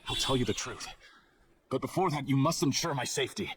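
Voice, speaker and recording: An actor playing a cowardly, resentful small-time smuggler in a video game, defensive and prone to stammering, over music. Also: male voice, medium pitch, expressive, fast, crowd noise, natural voice. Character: cowardly, resentful petty smuggler under questioning.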